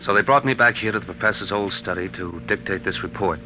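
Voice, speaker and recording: An actor playing a sombre, resolute man dictating a farewell report in a 1950s radio drama, deliberate and confiding. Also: fairly low pitch, natural voice. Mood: grave, resolute. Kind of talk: 1950s radio drama acting, first-person narration. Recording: noisy old radio broadcast recording.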